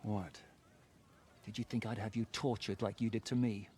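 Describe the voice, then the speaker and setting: A man's voice: lowish voice, flat delivery, normal speed, darkish tone, a little hiss or hum, close to an everyday voice. An actor playing a stern, composed medieval man of authority in a video game cutscene.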